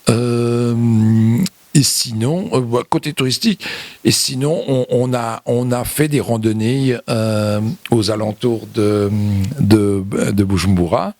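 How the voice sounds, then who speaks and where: A man from Europe giving unscripted interview answers as a guest, haltingly, often repeating words and phrases. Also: mid-range voice, steady tone, a little mellow, dry recording. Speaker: interview guest, visitor to Burundi.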